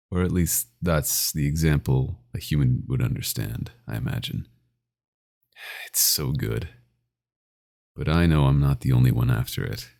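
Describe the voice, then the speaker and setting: A man's voice: darkish tone, full character voice. A man playing a possessive, domineering vampire doctor in a scripted ASMR roleplay, speaking in an intimate, condescending and menacing way. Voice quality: very deep, gravelly, often breathy.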